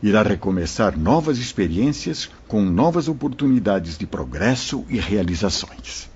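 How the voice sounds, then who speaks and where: An actor playing a wise spirit mentor who recounts events to listeners in a solemn, explanatory manner in an audio drama. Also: male voice, lowish voice, sing-song, moderate pace. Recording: muffled lo-fi audio.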